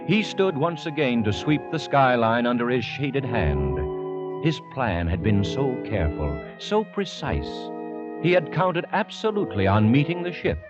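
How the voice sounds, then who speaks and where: A man's voice: mid-range voice, sing-song, a little slow. A man giving theatrical, literary narration as the storyteller of an old-time radio drama. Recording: dull vintage radio recording with music.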